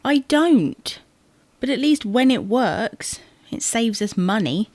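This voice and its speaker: A woman's voice: a little high, animated, takes it slowly, a little mellow, no room sound. A British woman playing an exasperated, matter-of-fact wife bickering over household matters in an audio sitcom. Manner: nagging, matter-of-fact, tag questions. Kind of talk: audio sitcom acting, domestic dialogue.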